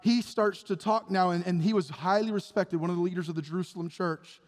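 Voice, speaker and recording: Guy preaching in church, acts out the bible folks, long echoey hall sound. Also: high voice. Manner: impassioned preaching, acts out Bible figures in quick dialogue. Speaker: pastor. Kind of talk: sermon. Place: church sanctuary.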